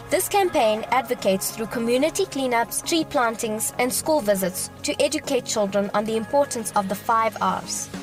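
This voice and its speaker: South African woman in her early 20s, pageant intro voice-over, bright-ish pitch, music playing behind. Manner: polished, formal, proud delivery. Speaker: pageant contestant. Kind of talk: scripted pageant introduction video.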